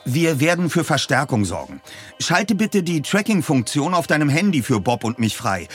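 An actor playing an earnest, eager young detective in radio play dialogue. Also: male voice, a little high, big pitch swings, moderate pace, soft background music. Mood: urgent, tense.

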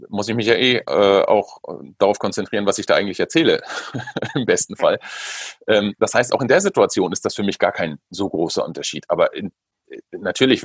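A man's voice: low-pitched, breathy edge, no echo. A middle-aged man, a radio football commentator, explaining thoughtfully with many fillers in a podcast interview.

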